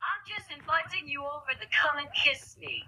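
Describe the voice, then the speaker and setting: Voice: high-pitched, moderate pace, bright, forceful tone. A performer voicing a flirty, coaxing woman singer on the telephone in an amateur puppet skit. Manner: seductive, inviting.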